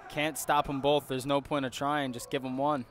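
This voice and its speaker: A man's voice: mid-range voice, flat delivery, moderate pace. A man giving enthusiastic, casual color commentary on a college basketball game. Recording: crowd and court noise behind the commentary.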